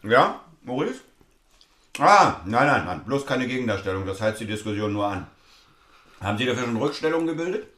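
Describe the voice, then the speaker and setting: A man's voice: mid-range voice, sing-song, a little fast, fairly bright tone, roomy sound. An actor playing a brusque, cynical lawyer and father in a naturalistic stage drama, clipped and dismissive. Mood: brusque, businesslike. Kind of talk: naturalistic stage-play dialogue acting.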